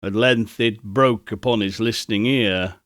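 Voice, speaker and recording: A man narrating a classic tale as a dramatic storyteller, with theatrical, deliberate and emphatic phrasing. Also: fairly low pitch, steady tone, fairly bright tone, dry recording, clean studio sound. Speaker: audiobook narrator.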